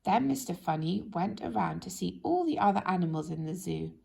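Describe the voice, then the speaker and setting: A woman reading a children's story aloud in an expressive, animated way, speaking directly to young listeners. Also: fairly low pitch, brisk, vocal fry. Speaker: storybook reader.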